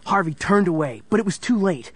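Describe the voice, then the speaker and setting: A man's voice: sits high, lively intonation, a little fast, a bit bright, a little hiss or hum. A man narrating a scripted story in a dramatic storytelling style.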